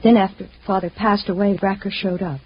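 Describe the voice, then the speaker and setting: A woman's voice: mid-range voice, steady tone, a little fast, husky, no room sound, close to an everyday voice. An actress playing an anxious, earnest young woman in distress, pleading and hesitant, in 1950s radio drama style. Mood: confiding, troubled. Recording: muffled vintage radio recording.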